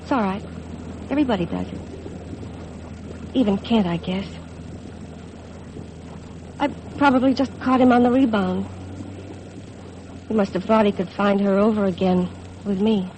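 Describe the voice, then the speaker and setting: An actress playing an insecure, wistful young American bride in a 1950s radio drama. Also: female voice, medium pitch, normal speed, long pauses, darkish tone, close to an everyday voice. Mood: hurt, wistful. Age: young adult. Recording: faintly noisy vintage radio recording.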